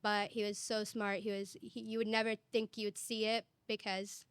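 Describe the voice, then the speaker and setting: A woman's voice: mid-range voice, flat delivery, normal speed, smooth, dry recording. A young woman from Egypt, a student panelist, speaking earnestly from personal experience in a panel discussion. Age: young adult.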